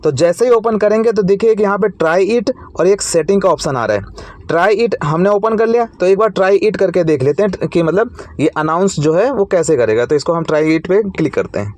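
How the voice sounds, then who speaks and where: A man's voice: sits high, lively intonation, moderate pace, darkish tone, no room sound. An Indian man hosting an informal tech tutorial, explaining each step in a repetitive way.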